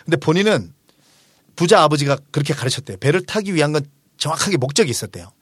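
A man's voice: fairly high pitch, expressive, fast talker, husky, no echo, studio quality. A man in his forties hosting a book discussion, explaining ideas conversationally and emphatically. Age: 40s. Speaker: host of a book discussion show; restaurant owner.

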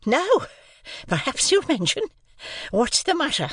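A man voicing a sharp-tempered, bullying housewife for an audiobook, snappish and impatient. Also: high-pitched, very animated, slow, no echo, studio quality, pitched above the performer's own voice, exaggerated voice. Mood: exasperated.

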